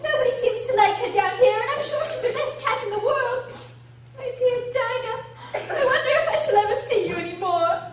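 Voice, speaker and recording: A woman playing a curious, polite young girl in a radio drama, earnest and expressive. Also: extremely high pitch, normal speed, a little hiss or hum. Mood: wistful, musing. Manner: earnest, expressive, talking to herself. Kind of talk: theatrical radio drama acting. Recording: echoey room from far off.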